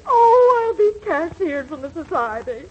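An actress playing a domineering, gushing middle-aged society matron, overwrought, in a 1940s radio comedy. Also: female voice, very high voice, sing-song, a little slow, full character voice. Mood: melodramatic, irate. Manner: overwrought, imperious, fawning. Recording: muffled 1940s radio, faint audience noise.

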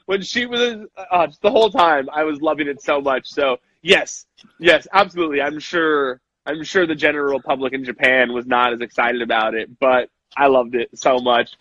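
A young man co-hosting a casual wrestling podcast, animated and quick to act out lines he quotes. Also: mid-range voice, no echo, highs cut off. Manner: animated, acts out quotes in voices. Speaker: podcast co-host.

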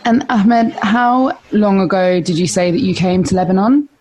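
A woman's voice: mid-range voice, normal speed, darkish tone. A woman interviewing a guest, sympathetic and prompting with confirming questions. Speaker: interviewer, podcast host.

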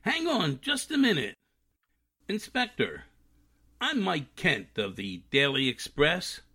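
A man plays a pushy, wry press reporter in an old-time radio mystery. His voice is sharp and forceful, on the high side. The delivery is punchy, with very animated pitch. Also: slow, breathy edge, heavily acted. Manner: punchy, insistent. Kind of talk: 1940s radio drama acting.

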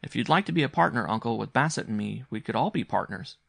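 A man's voice: medium pitch, lively intonation, fast, creaky, fairly bright tone, husky, no room sound, studio quality, full character voice. A man voicing an earnest, intense young boy in a story, speaking in a grave, insistent, polite way. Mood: earnest, serene.

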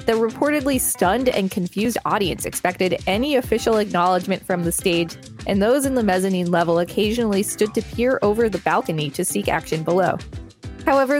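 Mid-pitched woman narrating over soft music. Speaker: podcast host and reader. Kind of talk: reading an encyclopedia article aloud. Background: background music.

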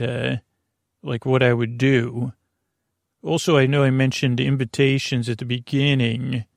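A man's voice: medium pitch, dry recording, studio quality. A man hosting a bedtime sleep podcast in a rambling monologue, often interrupting himself and trailing off mid-thought. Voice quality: creaky, gravelly. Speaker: podcast host.

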